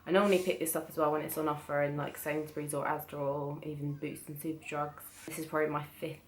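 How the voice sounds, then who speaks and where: A British woman with a croaky voice from being ill, chatting casually through a beauty haul with lots of hesitant restarts. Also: low voice, little pitch movement, quick pace, a little hiss or hum, rough recording. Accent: British English. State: croaky from illness. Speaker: beauty vlogger. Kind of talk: casual product haul chat.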